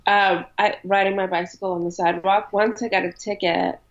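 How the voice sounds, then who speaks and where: A woman in her thirties, a podcast guest who grew up in New York, talking in a relaxed way with frequent ums. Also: medium pitch, brisk. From born in Ukraine, raised in New York. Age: 30s. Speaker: interview guest. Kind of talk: casual interview chat. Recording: dull lo-fi audio.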